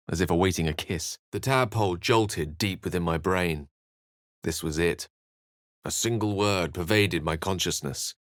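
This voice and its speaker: A man's voice: low-pitched, no echo, clean studio sound. A man voicing an eloquent, courtly wizard companion in a fantasy video game, speaking formally and theatrically. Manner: articulate, formal, theatrical phrasing. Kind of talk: fantasy video game voice acting, dramatic narration and dialogue.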